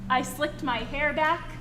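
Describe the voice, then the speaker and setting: High-voiced woman reading to children, echoey room. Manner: encouraging, addresses children with endearments. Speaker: educator reading aloud to children. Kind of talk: animated read-aloud and chat with children. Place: indoor school family event, live audience. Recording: echoey room with background noise.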